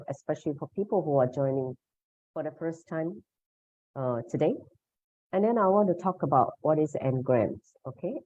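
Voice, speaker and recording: A woman's voice: deep voice, dark tone, no echo. A woman leading an online meditation lesson, explaining in a devotional, instructional way, over a video call. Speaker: meditation session leader. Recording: dull, muffled online session audio.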